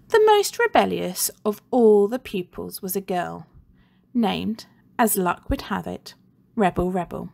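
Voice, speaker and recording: A woman teacher reading a children's book aloud with expressive, animated storytelling. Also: mid-range voice, vocal fry, slightly rough.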